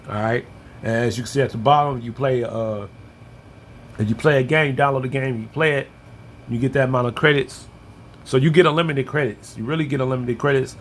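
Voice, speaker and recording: American man, casual walkthrough, noisy recording. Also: mid-range voice. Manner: informal, step-by-step explaining. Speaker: app reviewer and tutorial presenter.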